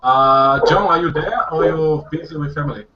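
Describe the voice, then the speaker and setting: A man's voice: a little high, a little fast, heavy background noise. A Brazilian man hosting a casual language-practice video call, upbeat and laughing often, switching between Portuguese and English. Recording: dull lo-fi video call audio.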